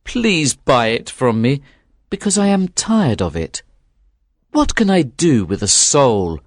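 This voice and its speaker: A woman's voice: rumbling low, big pitch swings, takes it slowly, dry recording, clean studio sound, pitched below the performer's own voice, heavily acted. A woman reading a storybook aloud, voicing a young male fisherman who pleads earnestly. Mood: weary.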